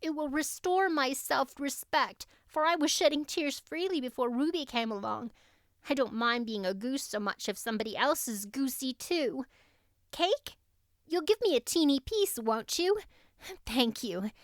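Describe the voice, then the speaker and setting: A woman's voice: sits high, animated, unhurried, no echo, clean studio sound, voice pushed up. A woman voicing a good-natured, weary teenage schoolgirl with a sighing, playfully theatrical manner, in an audiobook story.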